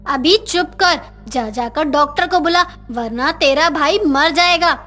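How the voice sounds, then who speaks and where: Casting: female actor as domineering middle-aged mother-in-law, forceful high voice, scolding cartoon delivery. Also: measured pace, faint music behind. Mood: commanding. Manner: scolding, commanding. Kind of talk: Hindi moral-story cartoon dubbing.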